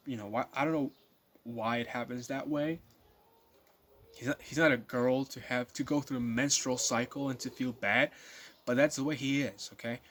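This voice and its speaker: Rambling nineteen-year-old man vlogging. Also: mid-range voice. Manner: rambling, many fillers and repeated restarts. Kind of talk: casual spoken monologue to viewers.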